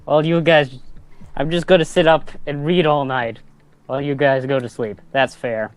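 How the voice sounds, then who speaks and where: A man's voice: a little high, fairly even intonation, normal speed, smooth. Casual man playing a tabletop game. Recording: music behind the voice.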